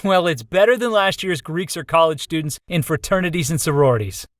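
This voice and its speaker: A man's voice: fairly high pitch, fairly quick, no echo, clean studio sound, lightly acted. A young man playing an earnest, skeptical college student in a visual novel. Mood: casual.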